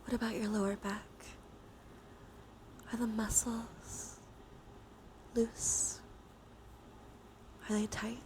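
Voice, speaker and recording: A woman guides a relaxation exercise in a gentle, mostly whispered ASMR style. Her voice sits fairly high and she takes her time, with long, unhurried phrasing. Clear ambient noise runs behind the voice. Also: little pitch movement, rough recording. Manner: soft, mostly whispered delivery; soothing, gentle guiding. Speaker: live streamer leading relaxation exercises. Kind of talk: ASMR guided breathing and body scan with chat asides.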